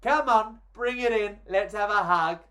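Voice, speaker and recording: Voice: mid-range voice, a little slow, sharp tone, pitched above the performer's own voice. A self-important young fairy-tale starlet, as a children's storyteller plays the part. This storybook girl gushes and boasts, making every sentence a grand speech. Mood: gushing, excited.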